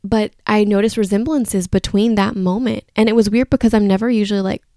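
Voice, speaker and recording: A woman's voice: mid-range voice, steady tone, a little fast, dark tone, no room sound, studio quality. A woman hosting a podcast solo in a casual, chatty, rambling and candid way, with a slightly groggy voice. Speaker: podcast host.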